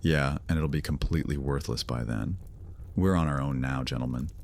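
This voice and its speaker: A man as a very deep-voiced sardonic vampire. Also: moderate pace, gravelly, darkish tone, a little hiss or hum. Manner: sarcastic, casual, mocking. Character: cocky male vampire loner, sardonic and teasing. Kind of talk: fantasy audio drama acting.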